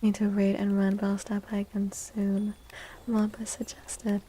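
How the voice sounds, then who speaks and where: A woman host speaking softly and gently in a livestream ASMR style, breathy and often slipping into a whisper, drawing out her words. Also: mid-range voice, completely flat delivery. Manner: slow, gentle, drawn-out words. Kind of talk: soft-spoken ASMR livestream chat.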